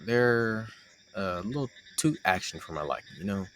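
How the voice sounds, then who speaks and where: A man's voice: lowish voice, lively intonation, moderate pace, a little hiss or hum, natural voice. A young man playing a playful, teasing roommate with a hidden crush, chatting casually and sarcastically to the listener in an intimate roleplay audio. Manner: teasing, conversational, sarcastic banter.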